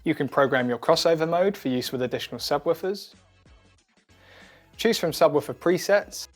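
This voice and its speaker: A man presenting a scripted product demo in a clear, methodical, instructional manner. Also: on the high side, expressive, normal speed. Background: background music.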